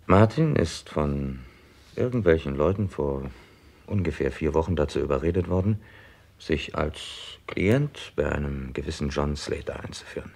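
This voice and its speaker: Deep husky detective inspector, calm and methodical, old german crime radio play style. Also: male voice, normal speed, full character voice. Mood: measured, persuasive. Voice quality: gravelly, husky. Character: calm, methodical, persuasive male police inspector. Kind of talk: mid-century German crime radio drama acting.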